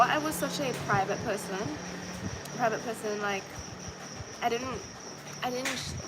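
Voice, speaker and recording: A young woman with a Trinidadian accent, chatting casually to her live viewers with lots of fillers and restarts. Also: fairly high pitch. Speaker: vlogger. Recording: loud background noise.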